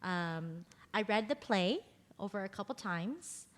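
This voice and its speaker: A woman's voice: medium pitch, lively intonation, a little slow. A woman from Minnesota with an American accent, talking casually and gratefully as an actor in a discussion. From Minnesota, USA. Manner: enthusiastic, grateful. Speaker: actor in a play. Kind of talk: casual self-introduction in a group discussion. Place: room in a library. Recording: long reverberant room sound.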